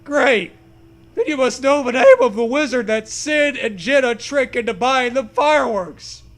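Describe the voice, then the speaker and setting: A man voicing a suspicious, indignant ghost horse in a loud, emphatic, declaiming way for a comic story reading. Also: very high-pitched, very animated, measured pace, voice pushed up, heavily acted. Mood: eager, testing.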